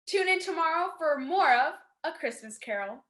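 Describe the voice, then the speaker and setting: A woman's voice: very high voice, expressive, normal speed. A woman reading a scripted announcement as the announcer of a radio play.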